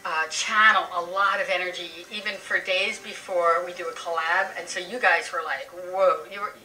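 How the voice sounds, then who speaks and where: A woman psychic chatting with guests, warm in her words and effusive. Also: on the low side, steady tone, normal speed, far from the mic, low-quality recording. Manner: gushing, effusive. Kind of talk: casual chat.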